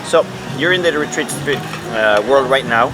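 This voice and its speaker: Chatty man over loud music. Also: medium pitch, rough recording. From Mexico. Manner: chatty, laughing, quick asides. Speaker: vlog host. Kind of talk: casual chat over brunch. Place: outdoor restaurant patio under a thatched roof. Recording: music playing behind the voice. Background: loud background music.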